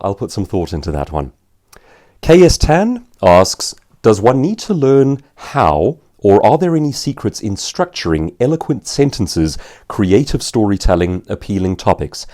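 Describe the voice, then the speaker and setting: A man's voice: lowish voice, dark tone. A man with a South African accent giving an instructional talk to viewers, explaining points articulately and posing rhetorical questions. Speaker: author and professional speaker answering viewer questions.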